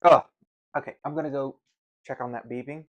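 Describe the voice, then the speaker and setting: Male streamer, mid-pitched, casual and grumbling, with halting delivery that doubles back on words. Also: steady tone, muffled recording. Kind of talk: casual chat while tasting food on a livestream.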